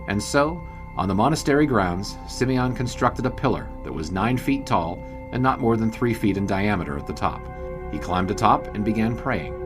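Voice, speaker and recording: A man's voice: fairly low pitch, close to an everyday voice. A man playing a wry old-time sports writer. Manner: dry, wry storyteller. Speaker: narrator. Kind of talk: scripted period narration. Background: background music.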